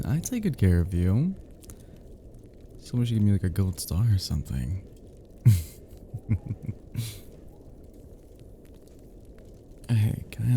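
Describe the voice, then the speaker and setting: A man playing an affectionate, flirty close friend in an intimate romantic roleplay, speaking in a soft, teasing, breathy murmur with hushed pauses. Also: low voice, a little hiss or hum, exaggerated voice. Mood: shy, teasing.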